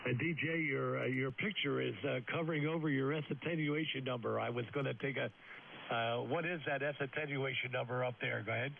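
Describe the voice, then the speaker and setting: A man with a gravelly voice chatting casually over amateur radio, rambling and halting with lots of fillers. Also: mid-range voice, fairly even intonation. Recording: tinny radio link with hiss.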